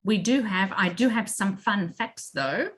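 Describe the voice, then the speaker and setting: A woman's voice: medium pitch, measured pace, a bit bright. A woman hosting an online library session in an informal, conversational style. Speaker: library host and moderator.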